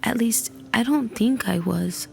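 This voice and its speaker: A woman voicing a troubled female android in an audio drama, hesitant and subdued, with a husky, creaky voice. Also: on the high side, unhurried, darkish tone. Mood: uncertain, troubled.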